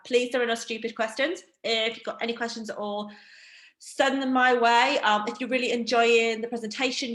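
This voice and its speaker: Woman, Northeast English accent, middle pitch, chatty online presenter, roomy home sound. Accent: Northeast England. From Northeast England. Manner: chatty, self-deprecating, lively. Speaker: wine educator. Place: home room. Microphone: video call.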